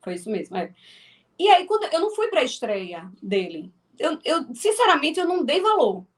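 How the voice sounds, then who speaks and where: High-voiced Northeastern Brazilian woman. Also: very animated, normal speed. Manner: chatty, many restarts and fillers. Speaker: filmmaker and actress guest. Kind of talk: casual interview talk.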